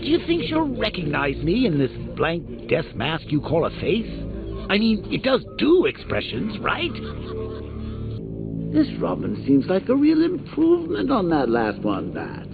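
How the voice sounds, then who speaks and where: Gravelly mocking clown villain, slow drawl, tinny phone-ish sound. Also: male voice, sits high, huge pitch swings, heavily acted. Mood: taunting. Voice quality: gravelly, theatrical swings between whisper and shout. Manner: mocking, sing-song cruelty. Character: taunting manic male clown villain. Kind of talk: video game voice acting. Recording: tinny lo-fi audio with music underneath.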